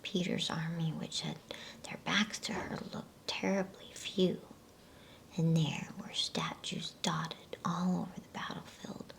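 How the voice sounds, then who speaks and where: A woman softly whispering an ASMR bedtime story, reading in a slow, soothing manner with pauses between phrases. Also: lowish voice, vocal fry, poor audio.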